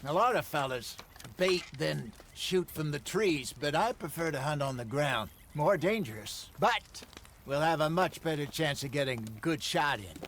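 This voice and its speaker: An actor playing a seasoned, easygoing American frontier hunter and outlaw with folksy talk in a video game cutscene. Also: male voice, a little high, lively intonation, moderate pace, a bit bright, slight background noise. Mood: calm, instructive.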